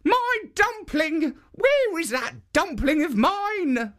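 Storybook old woman, high and squeaky, slow sing-song cries. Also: sing-song, a bit bright, exaggerated voice. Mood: pleading. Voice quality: very high, cackling laugh. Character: giggling old woman chasing a dumpling.

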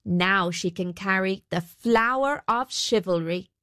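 A woman's voice: medium pitch, measured pace, a bit bright, smooth, no echo, studio quality, close to an everyday voice. A woman voicing a boastful male weaver who fancies himself a knight, speaking in grand storybook declarations. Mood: proud, grand. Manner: grand, cheerfully self-important declarations. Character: boastful male weaver turned self-styled wandering knight. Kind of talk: storybook character voice.

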